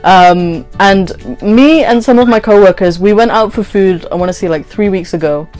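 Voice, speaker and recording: A woman's voice: mid-range voice, normal speed, soft background music, poor audio. A woman in her twenties chatting casually and telling a story, acting out conversations as she goes. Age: 20s. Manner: animated retelling with quoted dialogue and frequent "like". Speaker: vlogger. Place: small bedroom, indoors.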